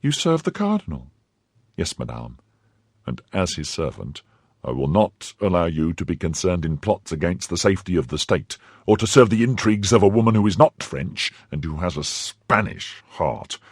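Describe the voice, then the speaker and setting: A man voicing a pompous, cowardly middle-aged shopkeeper in his own low, husky voice. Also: sing-song, moderate pace, no echo, clean studio sound, heavily acted. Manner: self-important, blustering. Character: pompous fifty-year-old mercer, cowardly and greedy.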